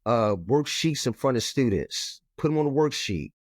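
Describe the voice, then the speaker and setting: A man's voice: expressive, moderate pace, no echo, studio quality. A man, a math educator talking firmly and emphatically in an interview. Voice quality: low voice. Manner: firm, emphatic, repeats "we gotta". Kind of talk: conversational interview.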